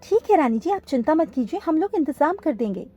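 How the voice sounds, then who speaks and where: Woman voicing a polite high-voiced butterfly attendant. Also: big pitch swings, brisk, warm tone. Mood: reassuring.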